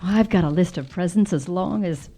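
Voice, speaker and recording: A woman playing a friendly, warm-hearted society lady, chatty and expressive, in an old-time radio drama. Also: lowish voice, normal speed, natural voice. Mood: cheerful, weary. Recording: noisy live stage recording.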